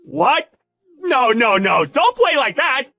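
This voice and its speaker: A smug bullying male boss, put on by a man for an acted story. His voice is high and swings widely, with a bright, forceful edge. He talks in taunting, slangy exclamations, full of contempt. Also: sing-song, moderate pace, no room sound, faint music behind, exaggerated voice. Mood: panicked. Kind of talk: dramatized story narration with character voices.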